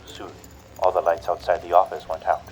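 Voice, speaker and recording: Brief: male actor as a cruel radio-play narrator; low voice, slow, menacing delivery. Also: little pitch movement, a little hiss or hum, natural voice, rough recording. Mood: dread. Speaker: horror radio show narrator. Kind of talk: 1940s radio horror narration.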